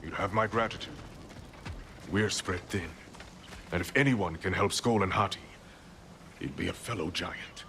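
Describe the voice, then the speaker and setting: A man's voice: sits low, moderate pace, pauses often, fairly bright tone, slight background noise. A man playing a proud, stern boar shapeshifter in human form in a video game, speaking in a blunt, formal, dry manner. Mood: businesslike. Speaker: game character, exiled advisor. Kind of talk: video game cinematic acting.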